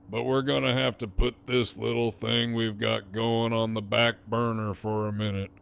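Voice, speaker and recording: A man acting a cocky, menacing gang leader in an audio drama, mocking and amused, with a swaggering drawl and gravelly voice. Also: mid-range voice, fairly bright tone, exaggerated voice. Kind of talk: full-cast fan audio drama acting.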